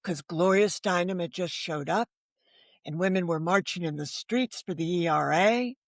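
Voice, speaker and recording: A woman's voice: on the low side, normal speed, no room sound, clean studio sound. An older woman from the United States telling personal stories in conversation, candid and self-deprecating, with rhetorical asides. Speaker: novelist, interview guest. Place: remote video call.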